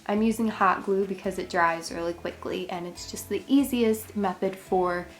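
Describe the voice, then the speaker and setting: A woman's voice: mid-range voice, moderate pace, soft background music. A woman hosting a craft tutorial, giving chatty step-by-step instructions, her voice congested and a little worn. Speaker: craft channel host.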